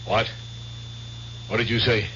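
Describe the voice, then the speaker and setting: An actor playing a gruff, irritable, grumbling man in a radio drama. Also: lowish voice, little pitch movement, takes it slowly, pushed and bright. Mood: groggy, irritable. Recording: dull, noisy old radio recording.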